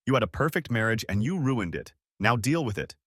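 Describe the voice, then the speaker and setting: A man's voice: medium pitch, sing-song, fairly quick, a bit bright, no echo, studio quality. A man reading a story aloud, voicing a stern middle-aged mother who scolds her grown daughter bluntly. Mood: scolding.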